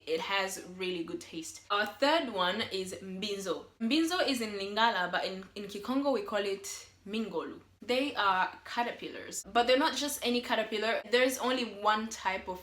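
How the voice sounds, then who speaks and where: A young woman from the western Congo talks straight to camera in a chatty, upbeat vlog. Her voice is bright and forward, and the room around her rings with echo. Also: mid-range voice. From western Democratic Republic of the Congo. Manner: chatty and upbeat, encouraging viewers. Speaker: vlogger. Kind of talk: casual talk to camera. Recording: echoey room.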